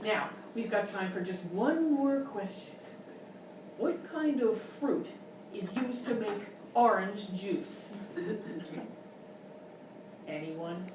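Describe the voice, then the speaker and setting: A woman's voice: medium pitch, expressive, slow pace, long pauses. A woman playing an exasperated, bitter game show host, ranting theatrically and contemptuously in live radio-style sketch comedy. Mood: mocking, impatient. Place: live stage performance in a hotel room. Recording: very echoey room, distant mic, noisy.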